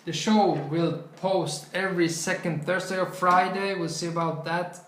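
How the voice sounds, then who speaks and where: A young man whose first language is not English, co-hosting a casual podcast chat in a loose, halting way with many restarts. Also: high voice, fairly even intonation, fairly bright tone, roomy sound. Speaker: co-host. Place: small bright office room.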